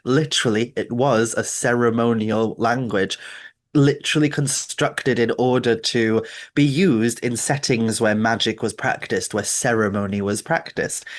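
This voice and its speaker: Man, Welsh accent, mid-pitched voice, conversational teaching style, emphatic storytelling, repeats phrases for stress. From Wales, Isle of Anglesey. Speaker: podcast guest, folklore teacher and author. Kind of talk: explanatory conversational talk on folklore.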